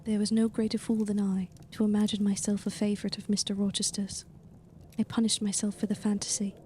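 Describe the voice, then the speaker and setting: An actress playing a young governess in an audio drama, reserved and earnest, speaking formally. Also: female voice, medium pitch, flat delivery, fairly quick, warm tone, slight background noise, natural voice. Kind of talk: audio drama acting and first-person narration.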